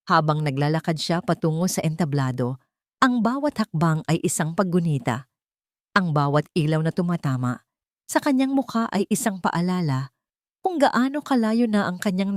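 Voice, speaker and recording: A woman's voice: on the low side, dry recording, clean studio sound. A woman reading a scripted, dramatic love story as the narrator.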